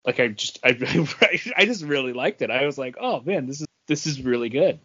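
A man co-hosting a podcast, talking casually about comic books in a halting way that often rephrases itself. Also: medium pitch, animated, sharp tone, no room sound, dull audio. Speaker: podcast co-host. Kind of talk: casual comic book discussion.

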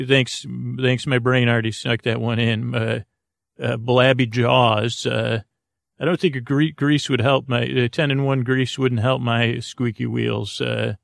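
Creaky-voiced man rambling, dry close sound. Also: medium pitch, no echo. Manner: hesitant, halting, repeats and circles back on words; musing, asks themselves questions. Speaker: podcast host. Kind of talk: rambling, meandering bedtime podcast monologue.

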